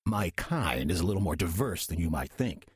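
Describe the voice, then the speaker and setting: An actor playing a weary, sardonic demon posing as a church deacon in an audio drama, dry, urbane and condescending. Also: male voice, sing-song, moderate pace, no room sound, exaggerated voice. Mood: haughty, matter-of-fact. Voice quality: husky, low voice. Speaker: narrator and lead character of audio drama. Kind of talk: audio horror-comedy drama acting.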